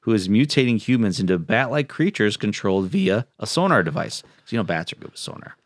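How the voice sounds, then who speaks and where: A man's voice: fairly low pitch, big pitch swings, fairly quick, dry recording. A man co-hosting a podcast, reading plot summaries and chatting casually with wry, joking asides. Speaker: podcast co-host.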